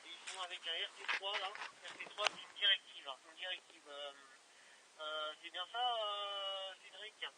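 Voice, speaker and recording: High-pitched man, casual amateur radio operator, repetitive phrasing, noisy and distant two-way link. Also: moderate pace. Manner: repeats phrases, frequent tag words.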